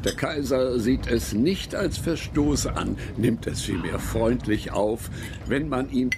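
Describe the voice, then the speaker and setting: An actor playing a courtly travel companion of the emperor, reading a memoir formally and with measured delivery in a radio drama. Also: male voice, mid-range voice, background noise. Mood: reverent, formal.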